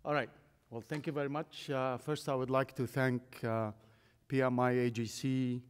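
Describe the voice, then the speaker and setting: A man of about fifty giving a technical presentation at a podium microphone, speaking in a relaxed, conversational way with many fillers. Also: mid-range voice, clean tone. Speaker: guest speaker. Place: large conference hall. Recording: long hall reverb.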